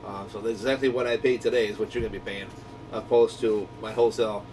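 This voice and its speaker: A man's voice: steady tone, quick pace, over music. A man giving a casual, rambling product review in a conversational, enthusiastic way, like a shop owner talking to viewers. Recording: distant mic, noisy low rumbling hum.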